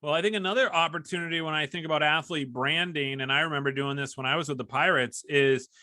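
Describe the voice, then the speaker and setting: A man's voice: on the high side, quick pace, sharp tone, no echo, studio quality. A man hosting a casual interview, chatty and fond of telling anecdotes as a fellow sports broadcaster. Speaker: podcast host and hockey broadcaster.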